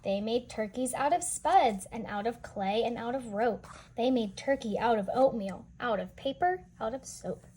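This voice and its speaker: A woman with a somewhat high voice reads a children's picture book aloud. She speaks as if to small listeners, adding explanations and questions. There is audible noise in the recording. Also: normal speed, hardly any pauses, a little mellow. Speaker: children's read-aloud storyteller.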